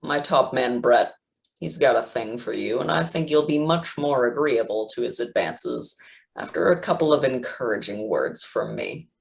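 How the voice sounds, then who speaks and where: A man's voice: medium pitch, sing-song, normal speed, creaky. A man reading the part of an arrogant, cruel tech villain in a staged play reading, with a sneering, mocking delivery. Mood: gloating.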